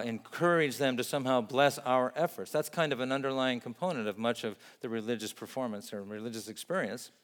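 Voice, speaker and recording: A man who grew up in Vietnam, preaching a sermon in an earnest, measured way and building up emphatic lists. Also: medium pitch, big-room sound. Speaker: pastor.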